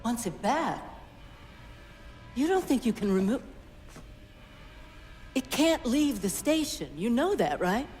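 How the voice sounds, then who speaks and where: A woman's voice: fairly high pitch, sing-song, slow, long pauses, a little mellow. A woman playing a stubborn, anxious research scientist in a video game cutscene, with a dry, skeptical manner. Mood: defiant, protesting. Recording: long reverb, music behind.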